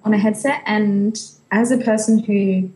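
Woman, medium pitch, roomy echo, casual podcast co-host, playful, self-deprecating. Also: fairly even intonation, a little slow, warm tone, slight background noise. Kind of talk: casual fan podcast chat. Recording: echoey, roomy sound.

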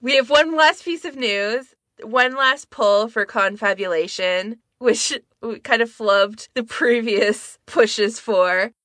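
A woman's voice: a little high, slow, hardly any pauses, a bit bright, dry recording, clean studio sound. A woman co-hosting a podcast, explaining things in an informal, conversational way. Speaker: podcast co-host. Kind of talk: casual explanatory podcast chat.

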